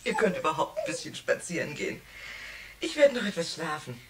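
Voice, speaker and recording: Kindly sick mum, lowish voice, old radio drama, noisy vinyl. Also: female voice, wildly swooping pitch, brisk, recorded from a distance, full character voice. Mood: gently concerned. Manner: kindly, fussing. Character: caring, frail mother recovering from illness. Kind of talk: 1960s German children's radio play acting.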